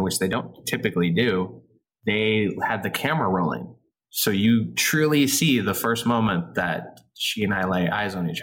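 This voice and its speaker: A man's voice: on the low side, fairly bright tone. A man in his forties, a guest on a casual podcast, rambling and laughing at himself as he tells stories. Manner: laughing, rambling, self-deprecating. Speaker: actor guest.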